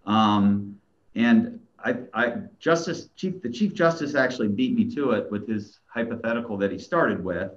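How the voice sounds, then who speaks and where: A middle-aged man arguing an appeal as an attorney, stumbling over restarts before laying out measured legal points from a small room over a video call. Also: fairly low pitch, expressive. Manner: stammering restarts, then measured legal argument. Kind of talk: courtroom oral argument. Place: small plain room, indoors. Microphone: video call webcam. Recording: dull, lo-fi video call audio.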